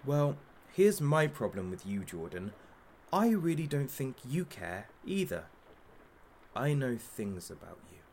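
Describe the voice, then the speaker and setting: Theatrical, cruelly mocking masked show host. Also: male voice, medium pitch, big pitch swings, unhurried, a little mellow, a little hiss or hum. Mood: scornful. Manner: mocking, showman-like, sarcastic. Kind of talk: audio drama acting.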